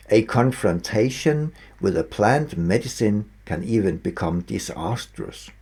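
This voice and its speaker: Male author-narrator, scripted audiobook reading, lowish husky voice, deliberate emphatic phrasing, short measured pieces. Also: mellow.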